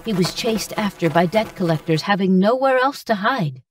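A woman's voice: mid-range voice, lively intonation, normal speed, far from the mic, noisy background, lightly acted. A woman reading scripted fairy-tale narration as a storyteller.

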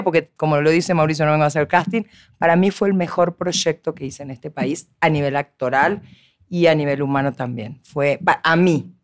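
A middle-aged woman from Argentina with an Argentine Spanish accent, talking expressively as a guest in a small podcast studio on a boom-arm mic. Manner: expressive, animated storytelling, frequent laughs. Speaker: guest actress. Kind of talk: casual podcast conversation.

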